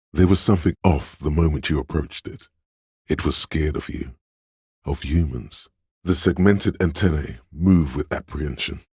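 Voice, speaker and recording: An extremely deep, husky inner voice from a detective game. It is sly and coaxing, placing each phrase with theatrical deliberation. The sound is gravelly and very dry, close and free of room. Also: male voice, darkish tone, no echo, full character voice. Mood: grave, hushed. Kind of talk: scripted game voice-over narration.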